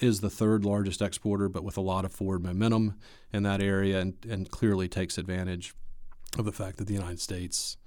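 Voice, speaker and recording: Male expert guest. Low register, conversational explanatory delivery, measured, dry recording. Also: darkish tone, no echo. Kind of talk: conversational expert analysis in an interview.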